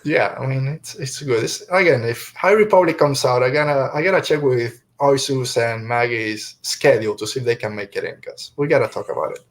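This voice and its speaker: A middle-aged man hosts a podcast in a casual, conversational way. He is a native Spanish speaker, with a medium-pitched voice, talking through a review. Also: a little fast, hardly any pauses. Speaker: podcast host.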